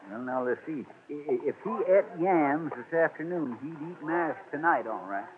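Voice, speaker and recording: A man's voice: a little high, expressive, normal speed, a crowd in the background, lightly acted. Rural American lawman male actor: dim, huffy, hesitant, vintage radio drama, thin tinny sound. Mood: folksy. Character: slow-witted, suspicious, blustery rural male county sheriff. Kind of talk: 1940s radio character acting. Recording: thin, hissy old radio broadcast.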